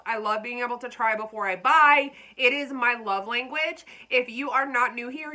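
A woman's voice: fairly high pitch, pushed and bright. Bubbly congested woman vlogging. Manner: bubbly, talks straight to viewers. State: congested, slightly froggy. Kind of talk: chatty unboxing vlog.

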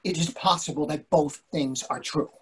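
A man's voice: on the high side, moderate pace, a bit bright, natural voice. A man in his sixties playing a grumpy, argumentative, hungry husband in a readers theatre play. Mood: exasperated. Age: 60s. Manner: exasperated, argumentative. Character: grumpy, hungry middle-aged husband out at dinner. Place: indoors on a video call.

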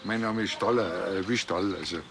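A man's voice: deep voice, moderate pace, vocal fry, noisy background. An actor playing an awkward, grumbling country policeman with a Bavarian accent, rambling colloquially in a TV crime comedy.

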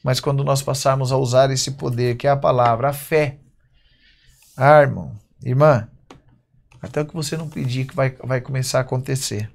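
A man in his thirties with a Brazilian accent, preaching a sermon at a church pulpit, reading verses slowly and then speaking in quick bursts. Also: mid-range voice, steady tone, creaky. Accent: Brazilian Portuguese. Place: church stage at a wooden pulpit.

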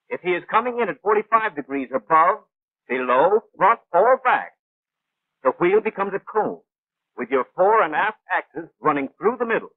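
An actor playing a brisk, know-it-all gunnery instructor in a 1940s animated training film, precise, clipped and didactic. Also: male voice, sits high, dry recording, phone-quality sound. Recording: thin, band-limited 1940s film soundtrack.

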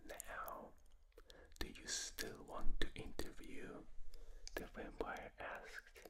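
Casting: accented man, hushed unvoiced whisper, slow unhurried book reading, close binaural mic. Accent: non-native English accent. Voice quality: soft unvoiced whisper throughout. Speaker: ASMR reader.

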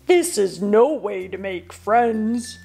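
Woman playing a slow, emphatic friendly giant. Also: medium pitch, lively intonation, vocal fry, warm tone, slightly rough, exaggerated voice. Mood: dismayed. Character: big friendly clumsy young male giant, well-meaning.

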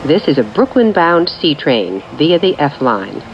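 Low-voiced woman making train announcements. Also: very animated. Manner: measured, formulaic, clearly separated phrases. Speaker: transit announcer. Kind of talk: scripted automated train announcements. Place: recorded off speakers inside a subway train. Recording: muffled capture with train noise. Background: train ambience.

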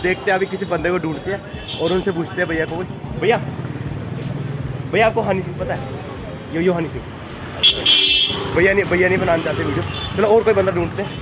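A man's voice: sits high, fairly even intonation, fairly quick, pauses often, heavy background noise. Playful young man vlogging with a sore throat. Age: young adult. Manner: playful, teasing, keeps saying "guys" and "okay". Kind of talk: casual daily vlog. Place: busy market street outdoors, later at home indoors. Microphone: phone camera held at arm's length.

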